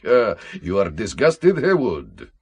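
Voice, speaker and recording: An actor playing a suave, worldly Russian KGB colonel in a radio spy drama, urbane and deliberate with dry amusement. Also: male voice, fairly low pitch, sing-song, darkish tone, slightly rough, exaggerated voice. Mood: cool, measured. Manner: urbane, deliberate, drily amused, formal phrasing.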